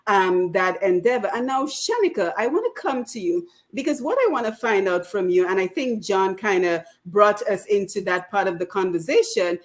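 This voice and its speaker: A woman's voice: mid-range voice, expressive, moderate pace, hardly any pauses. A woman hosting a radio talk show, with a voice in the middle of the usual range. She poses questions to her guests, reads out viewer comments, and passes the floor from one guest to the next.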